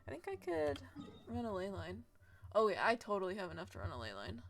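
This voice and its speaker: A woman's voice: medium pitch, wildly swooping pitch, brisk, vocal fry. A woman chatting casually as she livestreams a game, sounding tired and often muttering to herself. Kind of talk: casual game stream commentary. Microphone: streaming mic over game audio. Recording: faint noise, clicks, home stream.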